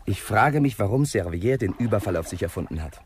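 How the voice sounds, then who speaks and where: An actor playing an earnest, deferential junior police inspector in a radio crime drama, speaking haltingly and tentatively. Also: male voice, lowish voice, lively intonation, slight background noise, close to an everyday voice. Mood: puzzled, earnest. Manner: halting, tentative, puzzling things out. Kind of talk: 1960s German radio crime drama acting.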